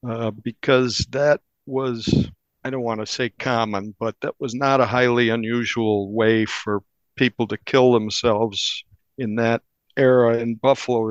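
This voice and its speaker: A man, a newspaper reporter, recounting a crime case in an interview with measured, deliberate storytelling. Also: mid-range voice, no echo, lo-fi sound.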